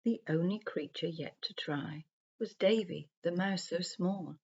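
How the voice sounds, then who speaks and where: A woman storyteller reading a rhyming children's story aloud in a measured, singsong rhythm. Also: on the low side, a little mellow, breathy edge, muffled recording.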